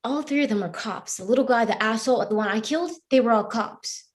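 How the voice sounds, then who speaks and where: A woman's voice: a little high, fast talker, close to an everyday voice. An actress playing an earnest, eager, apologetic woman radical in a staged play reading. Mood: earnest conviction. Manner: earnest, solicitous.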